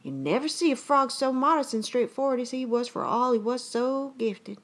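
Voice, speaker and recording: Rambling, earnest old-time yarn-spinner played by a woman for a comic audio story; highish pitch, very animated, drawn-out rustic delivery. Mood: excited, admiring. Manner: folksy, drawn-out, conversational storytelling in rustic dialect. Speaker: actor reading aloud. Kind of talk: dramatic reading of a comic short story.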